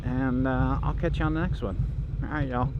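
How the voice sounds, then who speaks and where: A man's voice: medium pitch, steady tone, normal speed. A middle-aged man from Pennsylvania with a faint Pennsylvania Dutch accent, telling a casual story as a motorcycle vlogger in an easygoing, chatty way with lots of fillers, recorded outdoors. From Pennsylvania, USA. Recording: dull lo-fi audio with loud background noise.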